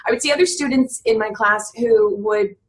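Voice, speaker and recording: A woman's voice: a little high, normal speed. A woman in her thirties talking earnestly as an interview guest, often restarting her sentences and filling with "you know". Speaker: guest on a business podcast. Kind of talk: reflective conversational chat.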